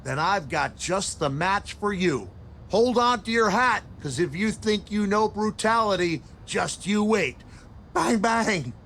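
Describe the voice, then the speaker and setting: High-pitched male voice, brutal folksy wrestling legend, menacing, game cutscene, faint noise. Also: lively intonation, measured pace, a bit bright, heavily acted. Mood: goading. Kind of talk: video game cutscene acting.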